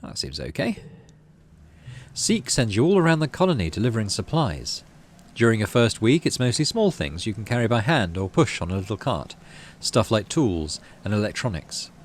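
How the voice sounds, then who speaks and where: A man's voice: lowish voice, sing-song, moderate pace, a little hiss or hum. A man hosting a gaming playthrough, reading story text aloud and adding casual commentary. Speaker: gaming channel host.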